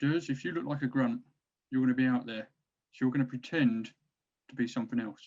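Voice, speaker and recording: A man's voice: mid-range voice, fairly quick, a little mellow, lightly acted. A man playing a weary orc war band captain rallying his crew in a fantasy comedy table read, on dull audio. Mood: grave, resolute.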